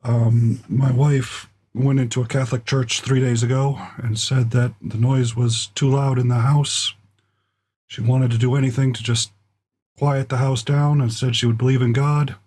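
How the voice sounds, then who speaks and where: A man hosting a live stream, his voice worn hoarse and husky. He talks in halting starts, full of ums and restarts, close to the mic in a room that echoes. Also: medium pitch, level tone, darkish tone. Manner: halting, many fillers and restarts. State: losing his voice. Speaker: show host. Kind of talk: live political talk stream. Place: home room with echo. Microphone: close desk mic.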